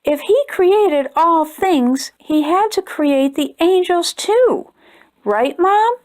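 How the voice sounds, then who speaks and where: A woman's voice: very high voice, expressive, slow pace, a little mellow, pitched above the performer's own voice, exaggerated voice. A woman voicing a curious little girl who keeps asking questions, eager and questioning.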